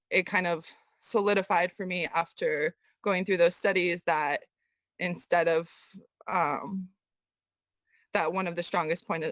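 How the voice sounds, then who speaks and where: A young woman from Kazakhstan, raised in the US, answering interview questions thoughtfully with many pauses and restarts. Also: deep voice, fairly bright tone, no room sound. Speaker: guest artist. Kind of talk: casual interview conversation.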